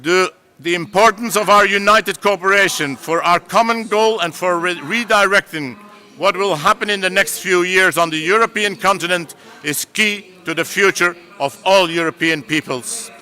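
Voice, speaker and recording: A man's voice: high-pitched, moderate pace, bright, forceful tone. A man giving a declamatory political speech at a rally, speaking with emphasis. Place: conference hall.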